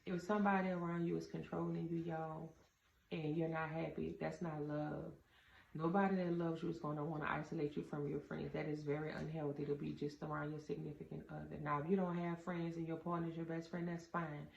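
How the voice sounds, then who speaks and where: A woman with a deep voice gives casual, straight-talking relationship advice to her viewers, calling them y'all with earnest conviction. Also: level tone, fairly quick, vocal fry, darkish tone. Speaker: vlogger giving relationship advice. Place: indoor room with a door. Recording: roomy, slightly dull, faint ambient hum.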